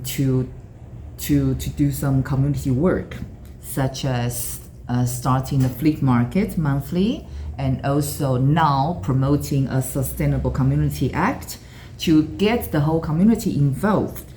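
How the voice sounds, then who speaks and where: A woman's voice: rumbling low, mellow, slight background noise. A middle-aged woman from Taiwan with a Taiwanese accent, reflecting earnestly on her life, often restarting and repeating words as she searches for them. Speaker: interviewee. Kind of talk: personal storytelling.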